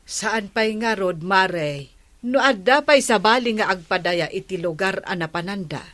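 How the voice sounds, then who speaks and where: A woman's voice: medium pitch, a little slow, a bit bright, natural voice. A woman with an Ilocano accent acting a kind village housewife who chides others in a radio comedy drama. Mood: caring, busy.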